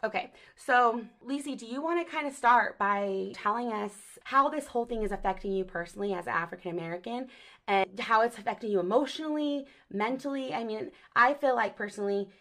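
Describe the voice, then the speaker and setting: A woman's voice: a little high, brisk, fairly bright tone. A woman hosting a podcast, talking earnestly and casually and affirming her guests often. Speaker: podcast host. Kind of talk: casual conversation.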